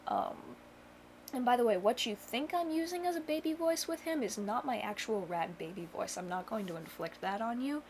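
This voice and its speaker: A woman's voice: on the high side, animated, moderate pace, darkish tone, a little hiss or hum. A young woman chatting casually to camera at home, rambling with lots of fillers and dry self-mocking humor. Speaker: vlogger. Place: home bedroom.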